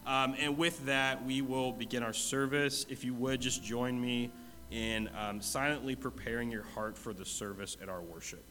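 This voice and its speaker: A man's voice: mid-range voice, moderate pace. A man with a stuffy, congested nose giving church announcements in a casual, self-deprecating way, then leading a spoken prayer. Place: church sanctuary. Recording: long hall reverb.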